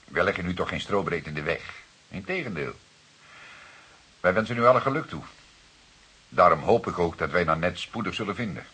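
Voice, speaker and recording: An actor playing a calm, authoritative police detective in a radio drama, questioning in a formal, deliberate way. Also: male voice, low voice, husky, exaggerated voice. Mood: measured, reassuring. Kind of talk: Dutch radio drama dialogue. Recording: hissy old radio drama recording.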